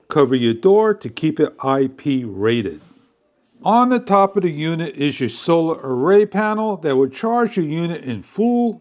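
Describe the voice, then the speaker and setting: A man narrating a step-by-step product demonstration in a deliberate, phrase-by-phrase instructional way. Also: fairly high pitch, a little mellow. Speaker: product reviewer.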